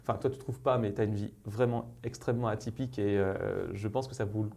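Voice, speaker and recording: A man's voice: lowish voice, steady tone, fast talker, dark tone. A man hosting an interview show, talking in a chatty, familiar way with many fillers. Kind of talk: casual interview talk.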